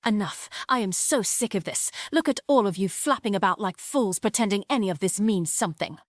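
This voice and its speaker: A woman's voice: medium pitch, animated, brisk, no echo, close to an everyday voice. Scornful villainess, played by a woman, dry sound. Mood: fed-up contempt. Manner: scornful, threatening. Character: menacing, contemptuous dark-force villainess.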